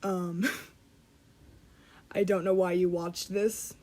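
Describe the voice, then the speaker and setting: A young woman vlogging in a casual, self-deprecating ramble, laughing often and swearing offhand while trying to make her voice deeper. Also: flat delivery, mellow. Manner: deliberately lowering her voice. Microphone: computer mic.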